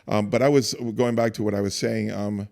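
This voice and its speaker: A man in his fifties from Cuba, a church staff guest telling his story in a casual interview, soft-spoken and halting with many restarts. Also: fairly low pitch, flat delivery, gravelly.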